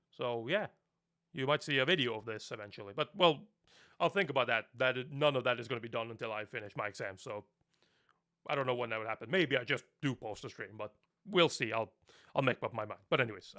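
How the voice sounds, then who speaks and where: A man's voice: medium pitch, bright, forceful tone. A young man from Norway, a native Norwegian speaker talking in English, chatting casually on a live stream, rambling and laughing often.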